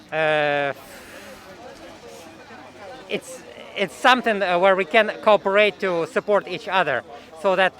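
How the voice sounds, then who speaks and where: A man's voice: a little high, a bit bright. A man from the former Soviet Union with an Eastern European accent, explaining his views hesitantly in a street interview. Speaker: rally participant giving an opinion. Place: outdoors at a city rally in Canada.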